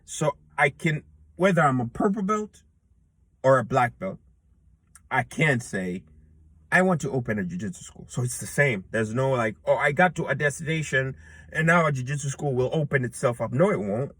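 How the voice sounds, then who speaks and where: A man's voice: mid-range voice, lively intonation, normal speed, a bit bright, slightly rough, no echo. A man in his thirties talking to himself outdoors in an earnest, rambling way, with many restarts and fillers. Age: 30s. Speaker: jiu-jitsu purple belt vlogger. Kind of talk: reflective casual monologue. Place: outdoors in a public place.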